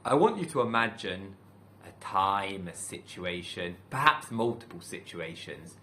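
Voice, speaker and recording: A man from the UK, a teacher, giving a calm, thoughtful talk to camera in a measured way with many pauses. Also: low-pitched, a little hiss or hum. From United Kingdom. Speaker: teacher and channel host. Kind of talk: reflective solo talk to camera.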